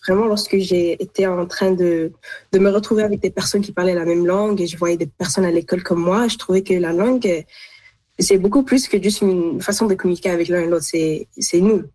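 A woman's voice: on the low side, fairly even intonation, fairly quick, dark tone, low-quality recording. A teenage young woman giving casual, earnest answers as an interview guest, often rephrasing for emphasis. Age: teens, high school student.

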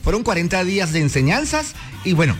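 Animated high-voiced Colombian radio host. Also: male voice, a little fast, faint music behind. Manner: playful, jokey, animated. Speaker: radio morning show host. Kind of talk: lively morning radio banter. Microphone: studio microphone.

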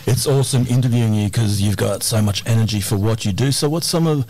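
A man's voice: on the low side, fast talker, a little mellow, a little hiss or hum. An Australian man hosting a community radio show on location in a hall, informal and a little hesitant. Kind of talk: live radio interview.

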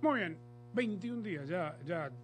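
Male sports radio host, slightly high register, probing and conversational, light music bed underneath. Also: expressive, measured pace. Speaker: host of a football talk show. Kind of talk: casual sports panel chat.

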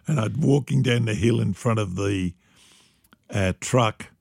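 An older man with a gravelly, husky voice, telling lively stories about his band days and laughing often. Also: fairly low pitch, a little slow, no echo, studio quality. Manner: animated storyteller, laughs often. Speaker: musician guest. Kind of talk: reminiscing interview chat.

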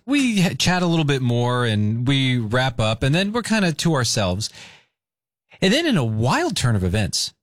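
A man co-hosting a radio show, telling a story in an animated way. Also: mid-range voice, moderate pace, dry recording. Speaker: radio co-host. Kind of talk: storytelling on air.

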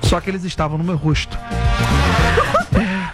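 High-voiced, playful male radio host. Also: normal speed. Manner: animated, playful, jokey storyteller. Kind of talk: lively radio storytelling and call-in chat. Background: music.

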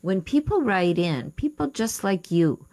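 A woman's voice: medium pitch, big pitch swings, measured pace, a little mellow. A woman coaching on the radio, answering listener letters while thinking aloud and correcting herself. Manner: thinking aloud, unsure of terms, self-correcting. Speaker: writing coach and radio host. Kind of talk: advice show talk, reading listener letters.

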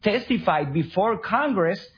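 A man's voice: high voice, animated, measured pace, breathy edge. A man from Venezuela, a guest on a radio talk show, telling his personal story earnestly. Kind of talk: radio interview. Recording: dull lo-fi radio sound.

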